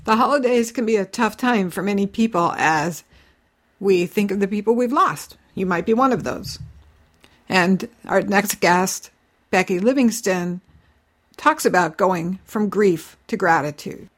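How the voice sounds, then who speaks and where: A woman's voice: medium pitch, unhurried. A woman hosting an interview show, asking sympathetic and curious questions of her guests. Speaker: talk show host.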